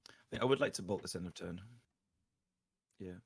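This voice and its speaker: Low-voiced, slightly husky man coaching gameplay. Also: a little fast. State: tired voice going hoarse. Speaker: guest player coaching a card game stream. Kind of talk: casual live game commentary.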